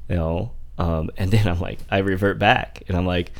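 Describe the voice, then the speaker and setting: A man's voice: sits low, fairly even intonation, normal speed. A man in his forties from Indiana, talking casually as a guest, rambling with many fillers and restarts. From Indiana, USA. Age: 40s. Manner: rambling, heavy use of "like" and restarts. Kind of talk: casual conversational interview.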